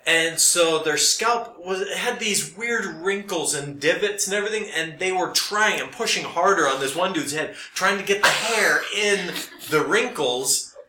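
A middle-aged man with a fairly high, bright voice, recorded in a roomy, echoey space. He talks as a podcast co-host, telling casual stories in an animated and jokey manner, happy to poke fun at himself. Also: unhurried, slight background noise. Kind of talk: casual storytelling chat. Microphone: podcast microphone. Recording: roomy, echoey room sound.

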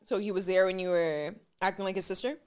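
A woman's voice: mid-range voice, fairly even intonation, fast, smooth. A Cuban woman hosting an interview in a chatty way, dropping Spanish phrases into English. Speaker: podcast host. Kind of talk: casual interview chat.

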